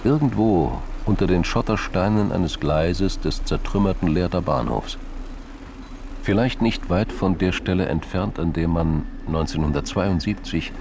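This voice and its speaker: A man's voice: low voice, creaky, noisy background. A man reading scripted narration for a radio drama, with vehicle sounds and ambience behind him.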